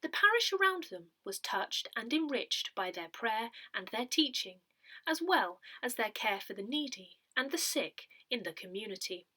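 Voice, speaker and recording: A woman reading a parish newsletter aloud from a script, in a measured, carefully enunciated way. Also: fairly high pitch, big pitch swings, sharp tone. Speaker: newsletter reader.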